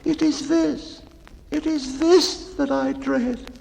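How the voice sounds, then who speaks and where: A man voicing a timid, mournful baker in a dramatic poetry reading, with a tearful, drawn-out lament. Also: very high-pitched, fairly even intonation, vocal fry, darkish tone, in a large hall, a little hiss or hum, voice pushed up, heavily acted. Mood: anguished.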